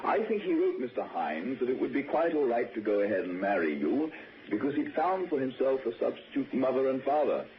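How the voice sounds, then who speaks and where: A man playing a genteel father and confidant in an old radio drama. He speaks formally and deliberately, with kindly probing and a lively melodic range. The sound is dull, with faint noise. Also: mid-range voice, close to an everyday voice. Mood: earnest, reassuring. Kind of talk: 1960s radio suspense acting.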